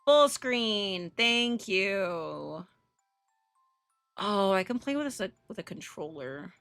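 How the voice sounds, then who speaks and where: A woman livestreamer with a bright, forward voice talks casually and bluntly as she hosts a game playthrough. Her delivery is animated and irreverent, moving between chat and reading aloud. The sound is close and dry, with music behind her. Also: medium pitch, slow, dry recording. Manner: foul-mouthed, irreverent, blunt. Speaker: live streamer hosting a visual novel playthrough.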